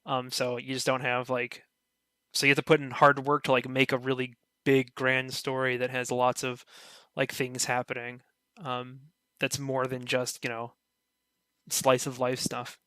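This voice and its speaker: A man's voice: mid-range voice, monotone, measured pace, bright, forceful tone, no room sound. A man in a casual podcast chat, rambling with lots of "you know" and "like".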